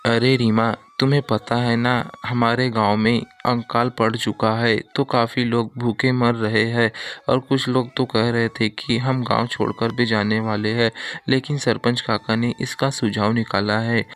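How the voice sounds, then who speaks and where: A man's voice: medium pitch, flat delivery, a little slow, a bit bright. A man playing a generous, good-hearted villager in a cartoon moral story, earnest and respectful.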